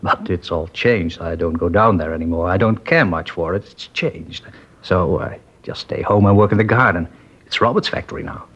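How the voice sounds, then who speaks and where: An actor playing a mild, trusting older man who owns a small dress factory, talking in a gentle, hesitant and rambling way in a 1950s radio drama. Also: low voice, lively intonation, moderate pace, breathy edge, exaggerated voice. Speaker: witness in a police interview. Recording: muffled vintage radio broadcast.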